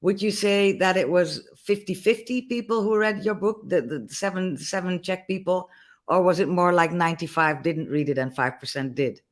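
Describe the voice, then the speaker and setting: A male host leads a live online interview over a video call, sounding eager and stumbling over how he begins his questions. His voice sits fairly high for a man. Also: normal speed. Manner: enthusiastic, stumbles over starts.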